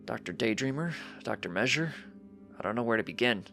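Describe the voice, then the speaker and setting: A man voicing a frank pony doctor in a story reading, measured, solemn and plain-spoken. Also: mid-range voice, animated, vocal fry, sharp tone, soft background music. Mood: grave. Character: grave, frank pony doctor delivering bad news.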